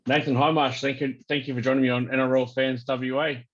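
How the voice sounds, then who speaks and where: A man's voice: mid-range voice, flat delivery, fairly quick, a bit bright. Man, New Zealand-tinged accent, casual interviewer, hesitant, deferential, dull lo-fi sound. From Australia. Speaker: interviewer, fan page host.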